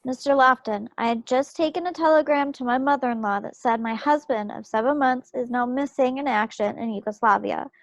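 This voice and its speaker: A woman's voice: high-pitched, fairly quick, few pauses, no echo, dull audio. A woman playing a proud young wartime wife and railroad telegraph operator in a play reading, speaking formally and firmly in her own defence. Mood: pointed, indignant. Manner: formal, firm, articulate self-defence. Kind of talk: one-act play table read. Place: indoors, remote video call read. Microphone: video call.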